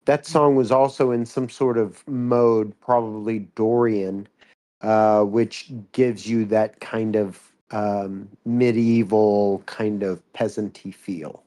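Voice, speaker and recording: A man's voice: on the low side, steady tone, slow, dark tone. A man giving casual, analytical feedback in a thoughtful, explanatory manner. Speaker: co-commentator giving music feedback.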